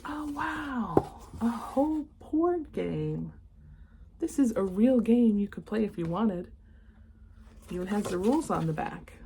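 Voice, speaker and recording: A woman reading a children's picture book aloud, expressive in the story and chatting casually and enthusiastically in asides. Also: medium pitch, takes it slowly, slight background noise. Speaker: read-aloud host.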